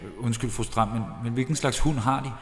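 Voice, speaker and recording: An actor playing a flustered, fussy, stammering parish priest in a comic radio drama. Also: male voice, medium pitch, close to an everyday voice. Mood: flustered, hesitant. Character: flustered, hesitant, indiscreet male parish priest. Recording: echoey reverberant church sound.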